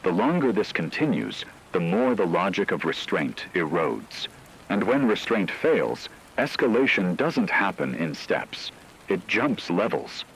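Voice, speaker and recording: A grave war correspondent in a radio drama, voiced by a man, speaks in a deep register. His formal, measured warnings move expressively up and down. A faint rainy hiss sits behind the foreboding broadcast. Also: sing-song, a bit bright, full character voice, poor audio. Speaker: news correspondent. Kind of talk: scripted emergency radio broadcast.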